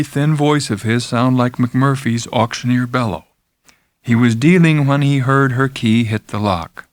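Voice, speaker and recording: A man's voice: mid-range voice, dry recording. Intense man narrating, in a very dry recording. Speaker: audiobook narrator. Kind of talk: dramatic first-person literary narration.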